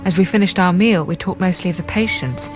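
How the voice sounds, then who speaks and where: Actress doing earnest young lady doctor for radio play, lowish voice, hesitant and confiding, music under it. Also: a little fast, natural voice. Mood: subdued, confiding. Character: earnest, self-conscious young female psychiatrist in love. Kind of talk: radio drama acting with intimate narration.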